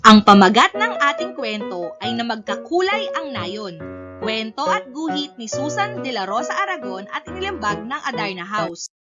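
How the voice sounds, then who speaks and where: A woman's voice: fairly high pitch, big pitch swings, normal speed, over music. A woman with a Filipino accent reading a children's story aloud expressively, in short, emphatic sentences. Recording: dull, lo-fi recording.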